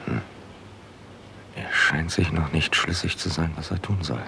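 An actor playing a furtive, patient investigator in a radio crime play, murmuring terse observations in a hushed voice, away from the microphone. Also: male voice, a bass voice, a little slow, creaky, far from the mic, slight background noise, full character voice. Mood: hushed, watchful. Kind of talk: vintage German radio crime play acting.

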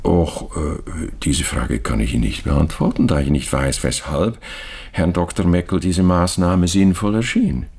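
A man playing a discreet, evasive Swiss banker in a radio crime drama, fawningly polite, formal and hesitant, with a gravelly, husky voice. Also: sits low, unhurried, darkish tone, heavily acted. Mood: explanatory, guarded. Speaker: bank official.

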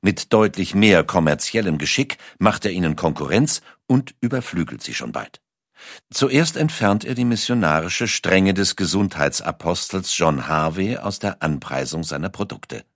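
Male narrator, deep pitch, very dry sound, scripted documentary reading, measured storytelling, careful articulation. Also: expressive, dry recording.

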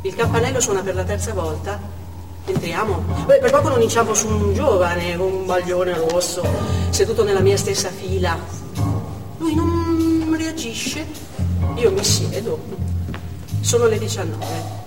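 Actress playing russian mom telling her night out, stage monologue, mid pitch, echoey hall, loud music behind. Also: a little slow, recorded from a distance, lightly acted. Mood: reflective. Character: Russian wife and mother, contented, observant, narrating her evening.

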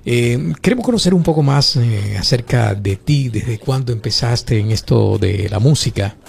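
A male interviewer with a gravelly, creaky voice at a medium pitch. He asks questions in a courteous, conversational way with measured pauses, over faint music. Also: expressive. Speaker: radio or show interviewer.

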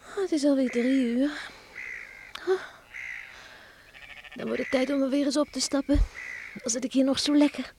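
A woman's voice: high-pitched, normal speed, pauses often, a little mellow, slight background noise. An actress playing a young farm girl in a Dutch radio play, cheerful, chatty and wistful. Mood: wistful, musing. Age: young adult.

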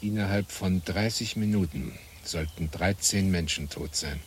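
An actor playing a calm, wry man recalling the past in a German radio play, unhurried and matter-of-fact. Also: sits low, slight background noise. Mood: sober. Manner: unhurried, matter-of-fact, pausing between phrases.

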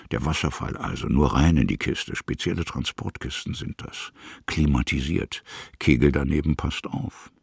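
A man narrates a literary radio drama in a very deep, heavily creaky voice. His delivery is musing and halting, husky and breathy, with lively rises and falls, at times sinking almost to a whisper. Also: no echo, studio quality.

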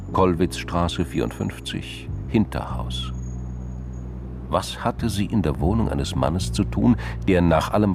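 A man with a gravelly voice reading scripted narration for a crime radio drama. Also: deep voice, noisy background.

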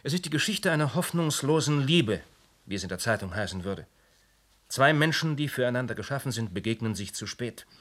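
A reserved, dignified man answering police questions in a radio drama. He speaks in a measured, guarded way, weighing each word. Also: medium pitch, animated, a bit bright. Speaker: suspect Monsieur Cernik. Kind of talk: radio play acting.

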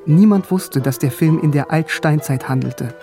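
A man's voice: fairly high pitch, normal speed, dark tone, faint music behind, close to an everyday voice. A man playing a young philosophy student in a radio drama, telling his story in the first person in a plain voice. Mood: reflective. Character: young male philosophy student, narrating his own memories.

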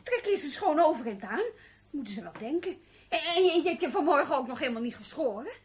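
A woman acting in a Dutch radio play as a flustered, excitable housewife who chatters, stammers and fusses. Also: high-pitched, fast. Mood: fussing. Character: flustered, chattering, fussy housewife defending herself to husband.

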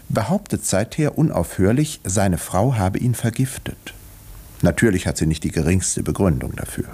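An actor playing an urbane, dryly ironic middle-aged village doctor, narrating in measured, articulate 1950s radio drama style. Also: male voice, low-pitched, a little mellow, slightly rough, full character voice.

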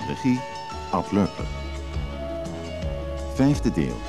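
Man, radio drama narrator, deep voice, scripted delivery, music underneath. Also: very animated, very slow.

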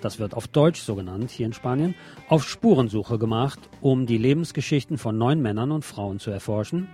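Male magazine presenter, mid-pitched, scripted news narration, music bed underneath. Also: mellow.

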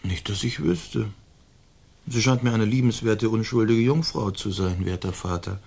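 A languid young aristocrat in a radio drama. His voice sits a little low, and he talks formally and plaintively. He comes across as a peace-loving, weary son. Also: normal speed, gravelly, darkish tone, breathy edge. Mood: reluctant. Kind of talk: German radio play acting.

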